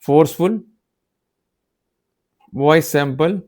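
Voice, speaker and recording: Emphatic male lecturer, higher-pitched voice. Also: very slow, a little mellow. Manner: emphatic, exhortative, checks that listeners follow. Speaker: law lecturer. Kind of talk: explanatory lecture mixing Hindi with English legal terms.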